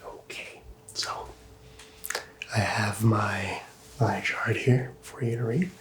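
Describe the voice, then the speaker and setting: A man softly whispering as a calm doctor in an ASMR roleplay, talking gently and reassuringly to the listener as a patient. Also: lowish voice, takes it slowly, a little hiss or hum. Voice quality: hushed, whispery, breathy.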